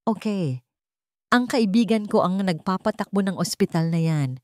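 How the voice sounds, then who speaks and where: A woman storyteller plays a tired, guarded husband in a romance audio story, brushing questions off curtly. The tone is mellow and the sound dry. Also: medium pitch, expressive, moderate pace, dry recording, natural voice. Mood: weary, dismissive. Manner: curt, dismissive. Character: weary, secretive husband, curt and evasive.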